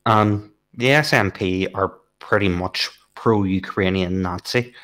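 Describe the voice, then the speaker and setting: A man in a casual political discussion who raises points in a measured, questioning way and builds them slowly with hesitant fillers. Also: sits low, a bit bright. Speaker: political podcast co-host.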